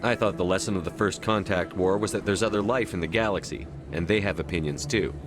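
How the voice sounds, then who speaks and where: An actor playing a stern, level-headed military commander in a science fiction game, speaking directly and with measured authority. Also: male voice, low-pitched, natural voice. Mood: skeptical. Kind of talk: video game dramatic dialogue. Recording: music behind the voice.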